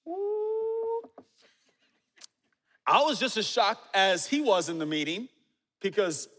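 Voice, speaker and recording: A man in his forties preaching a sermon, telling stories with humor and dropping low and quiet for asides. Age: 40s. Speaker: pastor. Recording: reverberant hall.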